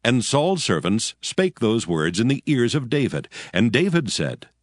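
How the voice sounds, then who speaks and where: A man narrating a dramatized scripture audio book from a script in a solemn, measured storytelling way. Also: mid-range voice, big pitch swings, dry recording.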